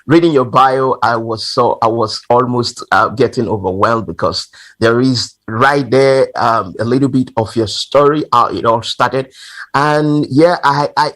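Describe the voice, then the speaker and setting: A man's voice: mid-range voice, fairly bright tone. Encouraging male radio interviewer. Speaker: radio show host.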